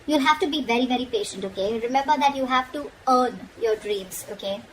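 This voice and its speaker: High-voiced woman giving a tarot reading. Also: fairly quick, poor audio. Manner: frank, admonishing, often checks in with "okay?". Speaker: tarot reader. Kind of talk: informal tarot reading addressed to the viewer. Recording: noticeable background noise.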